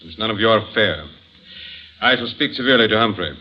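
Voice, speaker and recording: Haughty, low-voiced rich husband. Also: male voice, lively intonation, fairly quick. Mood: curt, haughty. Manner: curt, imperious. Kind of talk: 1950s radio drama acting. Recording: dull old radio recording.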